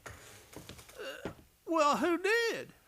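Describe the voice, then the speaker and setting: Casting: marshal's kindly helper played by a woman, sharp bright tone, homespun western talk. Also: very high-pitched, huge pitch swings, very slow, creaky, slight background noise, exaggerated voice. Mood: curious, sympathetic. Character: folksy, sympathetic male sidekick of a frontier marshal. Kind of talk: western radio play acting.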